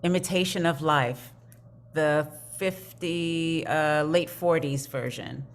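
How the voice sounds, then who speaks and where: A woman's voice: deep voice, slow pace. A woman chatting casually as a guest on an online panel. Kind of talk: casual chat. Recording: noticeable noise, room ambience.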